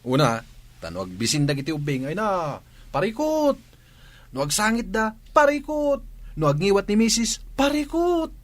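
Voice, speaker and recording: Higher-voiced complaining husband, acted by a man. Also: wildly swooping pitch, normal speed. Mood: wry complaint. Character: worn-out, complaining married male friend.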